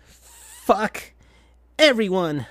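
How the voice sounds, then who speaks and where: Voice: mid-range voice, very animated, drawn-out words, no echo, studio quality, pitched above the performer's own voice. A reader putting on a sulky angry teen hedgehog. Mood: bitter. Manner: shouty, sulky.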